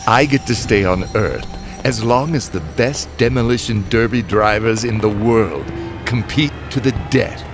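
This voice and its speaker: A man's voice: on the low side, a little slow, over music, lightly acted. A man playing a world-weary, fatalistic father and damned driver, narrating a comic drama in a brooding, wry way. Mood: darkly awed. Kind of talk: scripted first-person dramatic narration.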